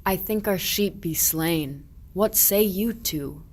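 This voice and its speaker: Woman as a slow, lower-voiced earnest shepherd. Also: a little mellow. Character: earnest, forthright shepherd searching for a lost sheep. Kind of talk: archaic rhymed verse radio play.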